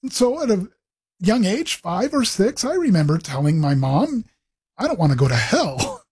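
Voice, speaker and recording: A man's voice: sits high, big pitch swings, normal speed, darkish tone, breathy edge. A middle-aged man from the Pacific Northwest telling his life story in an interview, with frequent ums and restarts. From Pacific Northwest, USA. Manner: rambling with many fillers and restarts. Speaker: guest, ministry executive director.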